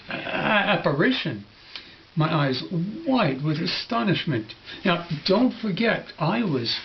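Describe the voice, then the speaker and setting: Slow, halting male storybook reader. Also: fairly high pitch. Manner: halting, stammering, musing delivery. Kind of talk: dramatised storybook reading. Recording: dull home recording with faint hiss.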